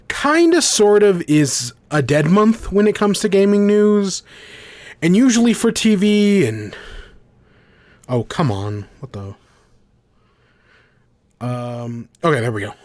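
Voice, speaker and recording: A man's voice: high-pitched, dry recording. A young man hosting a gaming podcast in a casual, rambling way, congested from a cold with a scratchy throat. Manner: rambling, self-correcting. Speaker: podcast host. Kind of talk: casual livestream chat.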